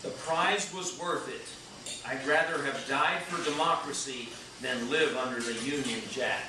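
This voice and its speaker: A man's voice: medium pitch, unhurried, natural voice. A man playing a principled, idealistic general-president in a readers' theater stage reading. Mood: resolute. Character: principled, idealistic George Washington, male general-president in heaven. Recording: echoey room, distant mic, noisy.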